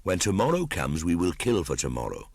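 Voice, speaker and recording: A man's voice: deep voice, wildly swooping pitch, brisk, darkish tone, breathy edge, no room sound, heavily acted. A man voicing a bold, eager young jungle boy for a storybook, declaiming confidently in archaic phrases. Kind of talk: audiobook storybook character acting.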